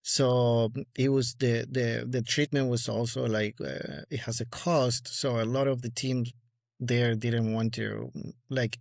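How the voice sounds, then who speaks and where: A man's voice: mid-range voice, gravelly, no room sound, clean studio sound. A man in his forties with an Argentine accent, a guest speaking in a casual interview with many fillers and restarts. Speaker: guest collector.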